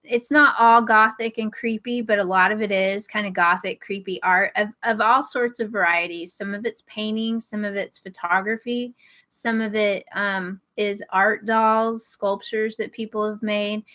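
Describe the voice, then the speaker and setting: A woman's voice: medium pitch, fairly even intonation, moderate pace, dry recording, dull audio. A woman co-hosting a podcast in casual, informal, self-deprecating chat. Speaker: podcast co-host.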